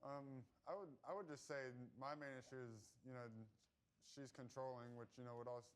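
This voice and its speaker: Deep, smooth-voiced man, a hesitant litigant full of fillers. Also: fairly even intonation, normal speed. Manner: hesitant, many "you know"s and "um"s. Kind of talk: courtroom testimony.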